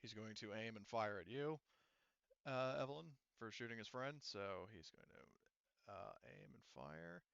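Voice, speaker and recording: A man's voice: on the low side, a little slow, gravelly, fairly bright tone, muffled recording, slight background noise. A man acting as game master, casually narrating a tabletop game and talking through the rules.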